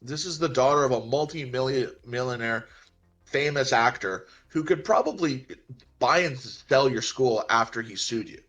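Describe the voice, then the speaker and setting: A man co-hosting a casual film discussion, speaking haltingly and repeating phrases for emphasis. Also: medium pitch, fairly bright tone, low-quality recording. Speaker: film podcast co-host.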